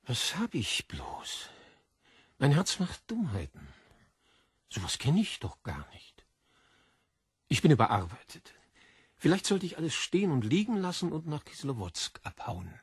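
Very husky, rattled editor. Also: male voice, fairly high pitch, huge pitch swings, measured pace, long pauses, no echo, clean studio sound, exaggerated voice. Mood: worried, bewildered. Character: rattled, self-important male literary editor, easily alarmed. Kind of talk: audiobook character acting.

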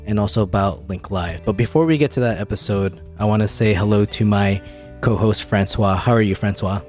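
A man's voice: lowish voice, brisk, a little mellow, faint music behind. A man hosting a podcast, chatting casually and explaining things about tech. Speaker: podcast host.

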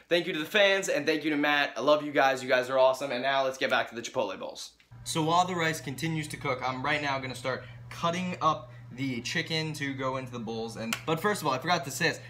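A young adult man with a bright, forceful voice in a middle register. He chats casually and earnestly to his followers, like an enthusiastic vlogger. The sound is that of a camera or phone close by in a home kitchen. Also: medium pitch. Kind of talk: casual vlog talk to viewers.

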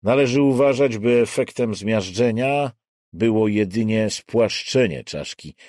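A man narrating an audiobook in a measured, deliberate way with dramatic literary phrasing. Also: on the low side, expressive, darkish tone, no room sound, studio quality.

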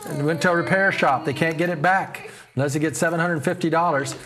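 A man's voice: a little high, quick pace, a bit bright. A man in his sixties speaking as a pastor and TV host, making an earnest, preacherly appeal in an interview. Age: 60s. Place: small TV studio living-room set. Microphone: lapel.

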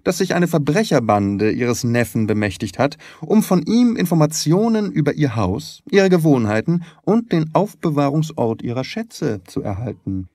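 A man voicing a shrewd, composed private detective in an audiobook, with a measured, polite and slyly mischievous manner. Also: fairly high pitch, sing-song, dark tone, dry recording, studio quality, voice pushed up. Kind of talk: dramatised audiobook reading.

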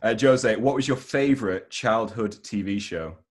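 A young man with a fairly low voice hosts a casual interview. He speaks informally and a little haltingly, on a headset over a video call. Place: home room, video call.

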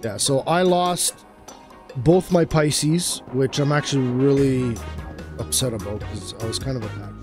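Excitable male gamer chatting. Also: medium pitch, a little slow, over music. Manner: excitable, chatty, easily surprised. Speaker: gaming channel host. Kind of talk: casual gameplay chat.

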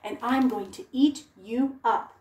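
A woman voicing a grumpy, hungry male troll in a storybook tale, loud and drawn out. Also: a little high, unhurried, reverberant, distant mic, close to an everyday voice. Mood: gruff.

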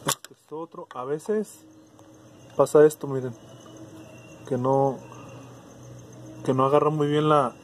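Hombre mexicano explicando reparación de auto, voz algo aguda, ruido de fondo leve. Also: male voice, fairly high pitch, fairly even intonation, takes it slowly, pauses often, slight background noise. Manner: casual, thinking aloud with restarts. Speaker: car electronics tutor. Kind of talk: hands-on repair demonstration.

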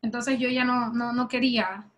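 A young woman from Ecuador, a softball player, speaking softly and hesitantly over a video call. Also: a little high, steady tone, moderate pace. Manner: soft-spoken and hesitant, with many fillers.

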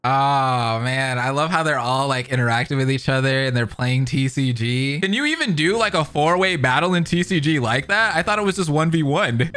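A man's voice: medium pitch, animated, normal speed, few pauses, pushed and bright. A young man doing hyped, slangy live reaction commentary as a streamer, full of exclamations. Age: young adult. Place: indoor room, streaming setup. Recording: game trailer music faintly behind.

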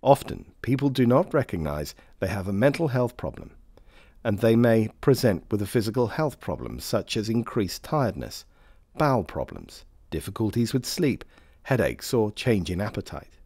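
Low-pitched, expressive male training narrator. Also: normal speed, breathy edge, no echo. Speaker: narrator of a health training film. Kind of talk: scripted instructional narration.

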